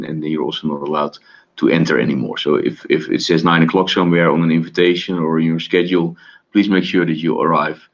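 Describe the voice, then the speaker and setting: A man giving an informal webinar presentation, halting often with many fillers and restarts. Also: extremely low pitch, dull audio. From Netherlands. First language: Dutch. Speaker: presenter of study-abroad webinar.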